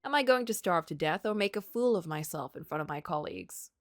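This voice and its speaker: A woman's voice: lowish voice, expressive, a little fast, no room sound, close to an everyday voice. A woman voicing a poor, doubting male writer from a story game in formal, literary words. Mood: gloomy. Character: poor, doubting, melancholy male writer narrating his misfortune.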